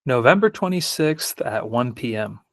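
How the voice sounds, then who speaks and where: A man running a live software demo on a video call, speaking in short plain requests. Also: medium pitch, sing-song, normal speed. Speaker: product demonstrator.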